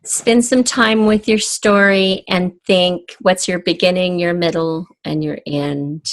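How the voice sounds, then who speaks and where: A woman's voice: on the low side, steady tone, takes it slowly. A woman speaking as a guest writer in a podcast interview, giving emphatic, encouraging advice in a conversational way.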